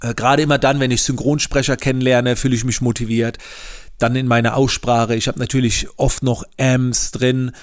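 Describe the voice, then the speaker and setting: A man's voice: medium pitch, little pitch movement, normal speed. A man with a regional German dialect hosting a solo podcast, talking casually with many hesitations and repeated words. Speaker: podcast host.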